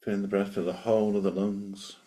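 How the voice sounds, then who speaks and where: A man leading a guided meditation, giving gentle, unhurried invitations with long pauses between them. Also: on the low side, level tone.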